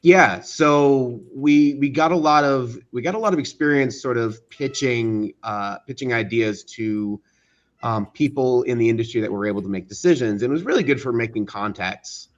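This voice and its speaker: A man's voice: medium pitch, moderate pace. A man with a mid-range voice comes through a little muffled. He speaks as an interview guest, recounting things casually and stopping often to find the next word. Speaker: interview guest, studio co-founder.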